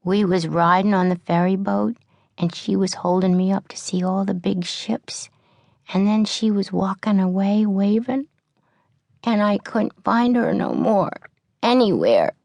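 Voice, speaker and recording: A woman's voice: mid-range voice, a little slow, creaky, a little mellow, dry recording, pitched above the performer's own voice. A woman voicing a frightened six-year-old orphan girl in an audiobook, childlike and plaintive, pleading for her mother. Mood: tearful, plaintive.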